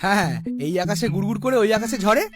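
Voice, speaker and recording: A man voicing a cheeky, excitable plateau in a cartoon, full of exclaiming banter. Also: sits high, racing, close to an everyday voice. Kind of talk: comic cartoon dialogue. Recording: music behind the voice.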